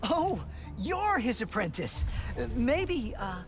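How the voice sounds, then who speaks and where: An earnest young feline alien hero, friendly and open. The pitch moves around expressively, in video game style. Music plays clearly underneath. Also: male voice, big pitch swings, takes it slowly, heavily acted. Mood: friendly, hesitant. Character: young heroic male Lombax, cat-like alien, earnest and friendly. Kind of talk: video game cutscene acting. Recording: loud music behind.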